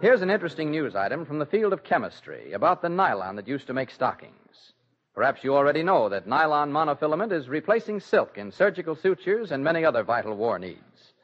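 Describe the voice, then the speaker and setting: A man's voice: on the high side, very animated, a little fast. A man giving a formal, declamatory scripted announcement in the manner of 1940s radio. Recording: dull 1940s radio recording with music.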